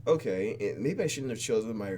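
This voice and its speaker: A man's voice: lowish voice, lively intonation, a little fast, darkish tone, slightly rough. A man doing playful, exclamatory gameplay commentary as he reads a visual novel aloud, with faint music behind him. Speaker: let's play host.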